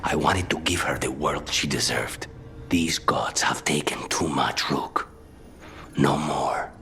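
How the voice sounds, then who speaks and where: An actor playing a bitter, grieving assassin in a fantasy game, speaking in a breathy, restrained, grim way. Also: male voice, slow pace, pushed and bright, a little hiss or hum, heavily acted. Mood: bitter grief. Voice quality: breathy near-whispered low voice. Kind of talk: dramatic video game acting.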